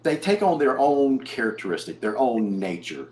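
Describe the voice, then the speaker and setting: A man's voice: medium pitch, very animated, normal speed, a little mellow. A man hosting a local sports talk show, asking long, hesitant questions full of fillers. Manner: rambling, hesitant questions full of "uh" and "you know". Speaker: show host. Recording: echoey room with faint noise.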